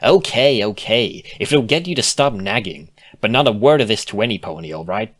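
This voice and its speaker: A man's voice: on the low side, animated, fairly quick, fairly bright tone, voice pushed up. A man voicing a defensive, laid-back female cartoon unicorn, grumbling with sardonic reluctance in a storybook reading. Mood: reluctant.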